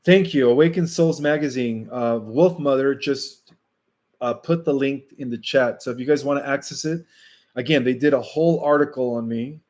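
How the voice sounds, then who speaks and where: Slow-spoken man hosting a livestream Q&A. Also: medium pitch, a little mellow. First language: Spanish. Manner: slow, deliberate delivery with frequent fillers. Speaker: livestream host answering viewer questions. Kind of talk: casual livestream Q&A.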